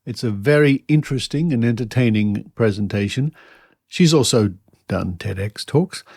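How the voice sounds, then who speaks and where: A man's voice: medium pitch, normal speed, a little mellow, no echo, clean studio sound. An Australian man hosting a podcast and interviewing a guest in a conversational style.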